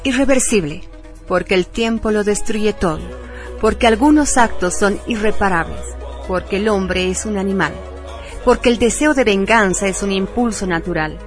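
A woman's voice: mid-range voice, moderate pace. Woman presenting radio segment over prominent music. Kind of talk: scripted film review narration. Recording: prominent music bed under voice.

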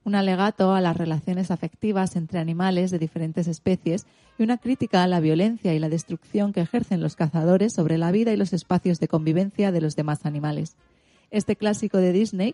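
A woman's voice: on the low side, warm tone, no echo. A woman with an accent from Spain presenting a scripted radio segment.

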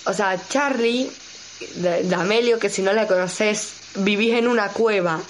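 A woman's voice: mid-range voice, measured pace, a little hiss or hum, low-quality recording. A young woman co-hosting a radio show, chatting casually in a slangy, rambling, jokey way. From Argentina.

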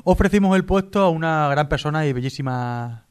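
A man's voice: a little high, animated, brisk, a little mellow, clean tone. A man co-hosting a comic radio chat, joking along in a mock-serious way. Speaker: radio show co-host.

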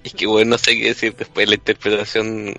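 A man's voice: on the low side, monotone, a little fast, bright, forceful tone, soft background music. A Chilean man doing crude, casual banter as a regular of an improv comedy show.

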